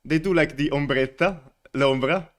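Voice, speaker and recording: A man's voice: on the high side, normal speed. A man from the Veneto region of Italy with an Italian accent, chatting as a guest and laughing often between filler words. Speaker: wine company representative, guest. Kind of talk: casual interview chat.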